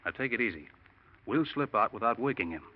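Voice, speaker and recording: Male actor as a no-nonsense pilot, mid-pitched, muffled old-radio sound. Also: expressive, a little fast, lightly acted. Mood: steady. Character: practical bush pilot and partner. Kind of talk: 1940s radio drama acting. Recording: dull old radio recording.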